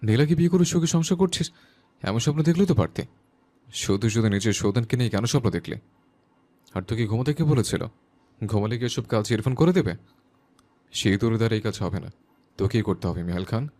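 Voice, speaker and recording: A man's voice: fairly low pitch, expressive, brisk, pauses often, a little mellow. A man playing a lovestruck, stubborn young teacher who talks intensely to himself in an audio story. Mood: self-scolding. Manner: intense self-talk, scolding and urging himself. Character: lovestruck, stubborn young male teacher driving himself through a sleepless night. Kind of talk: dramatised audio story acting.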